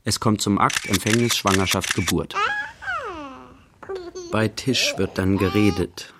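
An actor playing the narrator of a literary radio play, observing dryly in short, clipped phrases. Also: male voice, fairly low pitch, a little slow, very noisy, close to an everyday voice. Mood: wry, observational. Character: dry male narrator observing an artist's life.

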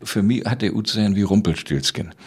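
A low, husky-voiced man in his sixties from the German coast, with a Low German accent and a creaky edge. He tells personal stories conversationally, with a storyteller's vivid touch. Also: fast, a little mellow. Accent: northern German, Low German. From island of Rügen, northern Germany. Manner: vivid anecdotal telling, acts out quotes. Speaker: playwright and storyteller, interview guest.

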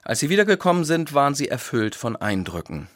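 A male pastor giving a scripted radio reflection, telling his account in measured sentences. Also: mid-range voice, big pitch swings, a bit bright, no echo, clean studio sound.

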